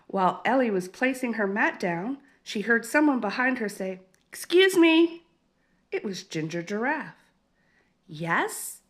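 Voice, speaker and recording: A woman narrating a children's picture book in an expressive, deliberate read-aloud manner. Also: mid-range voice. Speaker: storybook reader. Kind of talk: scripted children's story narration.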